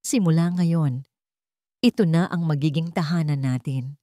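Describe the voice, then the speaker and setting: A woman narrator voices a gentle mother in an audio story, a nanny with a polite, reassuring way of talking. The sound is dry and close. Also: sits low, unhurried, no room sound. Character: gentle, nervous young mother, a newly hired nanny.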